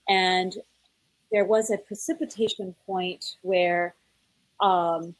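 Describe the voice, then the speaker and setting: A woman speaking as a research manager, telling her story on a conference panel in a measured, explanatory way. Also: fairly low pitch, fairly even intonation, no room sound, rough recording.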